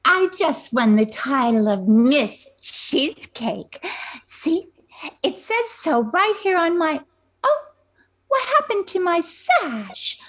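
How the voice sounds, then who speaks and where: Woman as swooping, high-voiced, flighty beauty queen. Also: big pitch swings, slow, fairly bright tone, exaggerated voice. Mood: boastful, flustered. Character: vain, scatterbrained beauty queen with boyfriend in tow. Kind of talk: radio comedy play acting.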